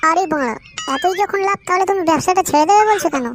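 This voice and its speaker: High-pitched cheeky young cartoon nephew. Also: male voice, very fast, a little hiss or hum. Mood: curious, scheming. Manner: colloquial, eager, smooth-talking. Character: cheeky, scheming young village nephew, novice fish seller. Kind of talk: comedy cartoon dubbing.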